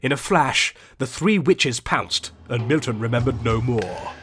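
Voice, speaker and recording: Male narrator for a fantasy audiobook: medium pitch, ornate storytelling delivery, carefully measured phrasing. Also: lively intonation, a bit bright, soft background music. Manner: theatrical, ornate, deliberate delivery.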